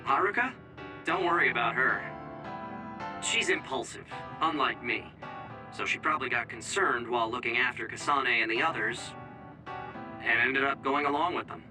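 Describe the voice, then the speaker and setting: An actor playing a frank, friendly young comrade in a video game, casual and plain-spoken. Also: male voice, medium pitch, moderate pace, pauses often, over music, natural voice, poor audio. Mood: breezy. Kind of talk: video game cutscene voice acting.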